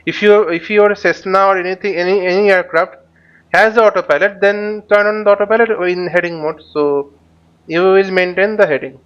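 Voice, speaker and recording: A man teaching a flight simulator tutorial, speaking haltingly and often repeating words as he explains. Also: high voice, fairly even intonation, fairly bright tone, clean tone. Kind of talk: spoken tutorial while flying a simulator. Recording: dull lo-fi microphone sound.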